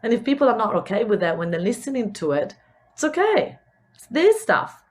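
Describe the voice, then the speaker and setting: A woman's voice: fairly high pitch, sing-song, fairly quick. A middle-aged woman with a mixed Italian and Spanish accent, hosting a solo business podcast in an earnest, encouraging way and often repeating words as she searches for them. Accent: Italian, with a mixed Spanish touch. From Italy. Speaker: podcast host, business coach.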